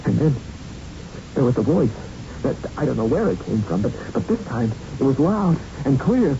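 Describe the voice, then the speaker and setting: Haunted young boxer, muffled recording. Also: male voice, medium pitch, big pitch swings. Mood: grave, recounting. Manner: halting, intense storytelling with streetwise phrasing. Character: haunted young male boxer, tough, plain-spoken, shaken. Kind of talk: 1970s radio drama acting. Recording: muffled, noisy 1970s radio recording.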